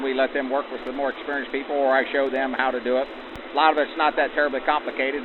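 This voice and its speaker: Fast-talking man on a narrow, noisy recording. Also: medium pitch. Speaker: locomotive crew chief. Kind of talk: unscripted interview answers. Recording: band-limited, noisy outdoor vintage-style sound.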